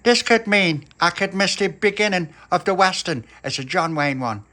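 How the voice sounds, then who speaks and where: A man's voice: sits high, moderate pace, sharp tone, voice pushed up. A man with a Liverpool accent voicing a fiery working-class mother who rants and sighs in indignation. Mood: exasperated. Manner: indignant ranting, sighing.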